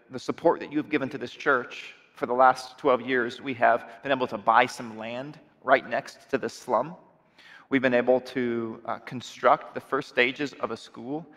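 Earnest pastor in a reverberant hall. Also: male voice, medium pitch, fairly bright tone. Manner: earnest, with frequent restarts and repeated words. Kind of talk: sermon appeal to a congregation. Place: dark church stage with screens. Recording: long reverb of a large hall.

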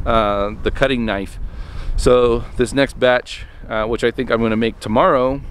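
A man's voice: mid-range voice, clear, resonant tone. A man in his thirties talking casually to a handheld camera outdoors on his farmstead, rambling with many ums and restarts. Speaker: homestead vlogger. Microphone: handheld camera at arm's length.

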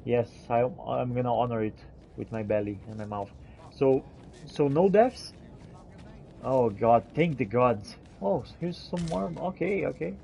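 Man, mellow voice, in-character game roleplay, theatrical archaic phrasing, noticeable background ambience. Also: medium pitch, unhurried, vocal fry, a little hiss or hum. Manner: theatrical archaic phrasing mixed with casual talk. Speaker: gamer roleplaying as the player character. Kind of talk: in-character roleplay commentary over fantasy game.

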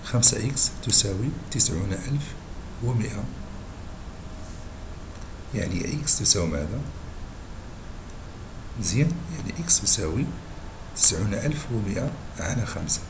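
A male math teacher with a Moroccan Arabic accent, hoarse and husky from a cold, explaining a lesson slowly and patiently and checking in with his students. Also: lowish voice, sing-song, noisy background. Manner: slow and patient, checking in with listeners. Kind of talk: screen-recorded math lesson.

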